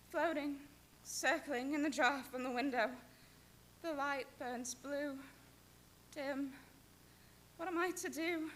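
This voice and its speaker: A fragile, frightened young Gothic heroine, acted by a woman in old-time radio style. Her voice is high and very bright, and she speaks slowly and melodramatically over background noise. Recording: clear background noise and ambience.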